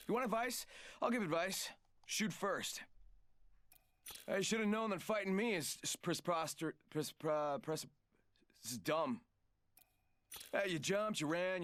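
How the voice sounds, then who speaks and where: Cocky, high-pitched goofy gunfighter. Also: male voice, fairly bright tone. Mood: playful swagger. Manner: breezy, rambling, stumbles over big words. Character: cocky, playful, goofy young male gunfighter. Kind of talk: video game character voice lines.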